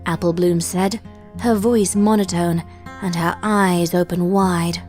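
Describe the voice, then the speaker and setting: A woman reading a horror fan story aloud in steady scripted narration. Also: on the low side, slow pace, soft background music.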